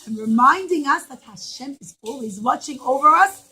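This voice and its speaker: A woman's voice: high voice, expressive, normal speed, pushed and bright. A woman voicing a happy, proud fig tree character in a children's puppet show, speaking with joyful emphasis. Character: happy, proud male fig tree, newly feeling special.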